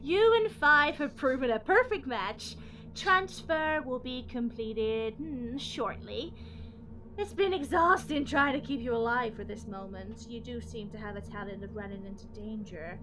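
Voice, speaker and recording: Woman acting a gloating evil scientist lady, highish voice, takes her sweet time, smug and cold, noisy audio drama track. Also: unhurried, bright, forceful tone. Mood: mocking.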